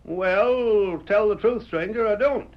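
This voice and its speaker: An actor playing a talkative, loyal frontier deputy with a drawling rural dialect in a 1930s radio drama. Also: male voice, high voice, slow. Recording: muffled old radio recording.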